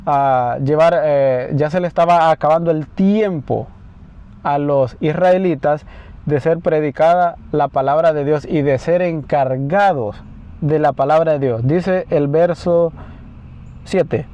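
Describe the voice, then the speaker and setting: Man preaching slowly on a dull recording. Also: fairly high pitch, mellow. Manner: asks questions and answers them himself; slow, deliberate reading of scripture. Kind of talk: sermon with Bible reading. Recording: slightly dull, lo-fi sound.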